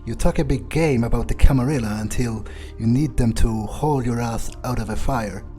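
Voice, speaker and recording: Man playing a dark-voiced, menacing vampire. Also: medium pitch, soft background music, lightly acted. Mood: taunting menace. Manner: halting, self-correcting, swearing, taunting. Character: menacing, foul-mouthed male vampire, cocky and vindictive. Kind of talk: in-character video game roleplay playthrough.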